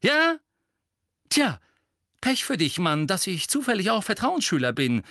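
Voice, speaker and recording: A man's voice: sits high, very animated, moderate pace, a bit bright, no echo, clean studio sound, pitched above the performer's own voice. A man voicing a loyal, indignant teenage boy in an audiobook, blunt and pugnacious. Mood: combative. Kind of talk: audiobook character voice.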